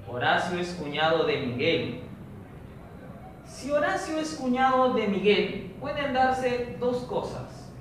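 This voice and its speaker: A man teaching a recorded online training lesson for teachers, explaining a logic problem slowly and step by step. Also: sits high, very animated, a bit bright. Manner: slow, methodical, explains step by step. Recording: echoey room, distant mic, noisy.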